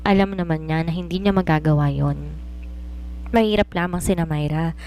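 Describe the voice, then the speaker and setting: A woman's voice: sits low, moderate pace, a little mellow. A woman reading a scripted romance story as its narrator.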